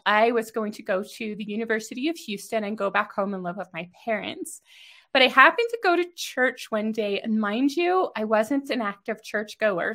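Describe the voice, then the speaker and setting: Chatty American woman with a higher voice. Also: pushed and bright. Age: middle-aged. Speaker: host interviewing a guest. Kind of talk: conversational interview and personal storytelling. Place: home office, over a video call.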